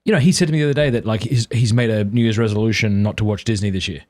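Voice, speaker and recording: A man's voice: on the low side, racing, darkish tone, no echo. A man in his thirties hosting a podcast, talking candidly in a rambling, self-deprecating way. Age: 30s. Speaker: podcast host. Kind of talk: casual conversational chat.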